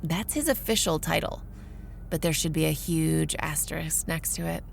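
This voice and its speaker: A woman's voice: low-pitched, moderate pace, gravelly, slight background noise. A woman playing a sardonic vampire researcher in an audio drama, narrating in a wry, profane, conversational way. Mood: wry, suspicious. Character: Aurora, sardonic young female vampire researcher narrating her exploits. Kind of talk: first-person audio drama narration and dialogue.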